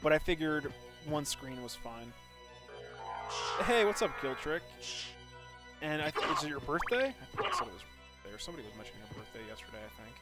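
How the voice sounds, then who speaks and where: A man's voice: a little high, very animated, brisk, pauses often. A man live streaming a video game, giving casual, informal commentary, thinking aloud and chatting with viewers. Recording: loud game music behind the voice. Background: video game music.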